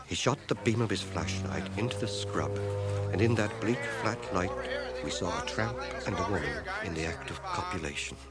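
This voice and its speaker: A low male voice narrates a small-town boyhood in a radio drama. He is grave and literate, with an expressive, dramatic line over prominent music. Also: moderate pace. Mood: reflective, grave. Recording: old radio drama from cassette, music underneath.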